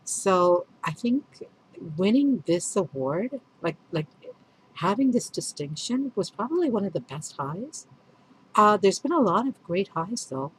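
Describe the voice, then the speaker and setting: A woman's voice: medium pitch, very animated, unhurried, slight background noise, poor audio. A woman whose first language is Urdu, a children's author speaking candidly and earnestly in a reflective vlog, often restarting and correcting herself. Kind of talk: reflective vlog answering questions.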